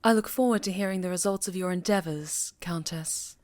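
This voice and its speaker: A woman voicing a dignified, guarded leader of a witches' coven in an ASMR fantasy roleplay, formal, measured and earnest. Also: on the low side, no echo, lightly acted.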